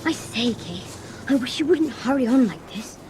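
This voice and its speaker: A woman's voice: fairly high pitch, lively intonation, normal speed, noisy background, natural voice. A woman playing a doubtful, reluctant schoolboy sidekick in a children's audio drama, with polite old-fashioned slang. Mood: wary. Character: cautious, doubtful, grumbling young schoolboy sidekick.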